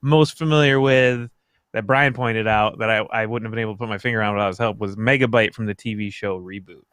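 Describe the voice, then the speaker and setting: A man, around his thirties, talking casually as a podcast panelist in a halting, rambling way. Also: lowish voice, steady tone, a bit bright, clean tone, no echo. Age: millennial, around 30s. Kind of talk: casual group chat.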